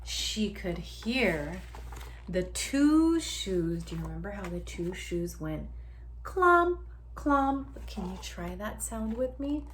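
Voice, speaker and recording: Animated woman reading to children. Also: mid-range voice, a little hiss or hum. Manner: animated, drawn-out sound words, asks listeners to join in. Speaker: teacher reading aloud to children. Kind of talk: interactive children's picture-book read-aloud.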